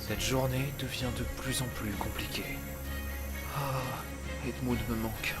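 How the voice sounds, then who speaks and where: An actor playing a seasoned, shrewd dimensional traveler in an audio drama, articulate and condescending, easily exasperated. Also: male voice, medium pitch, fairly even intonation, a little slow, close to an everyday voice. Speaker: lead character of an audio drama. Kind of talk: French audio drama acting. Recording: music under the voice.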